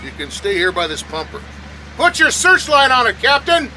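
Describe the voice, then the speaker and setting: An actor playing a brisk, authoritative male fire battalion chief in a radio drama, matter-of-fact. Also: very high-pitched, big pitch swings, sharp tone, slight background noise, full character voice, low-quality recording. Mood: commanding.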